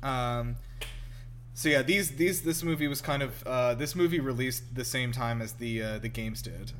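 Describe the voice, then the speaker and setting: A man's voice: medium pitch, normal speed, a bit bright, a little hiss or hum. A man hosting a casual movie reaction show, chatty, informal and enthusiastic. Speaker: podcast host. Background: film music playing.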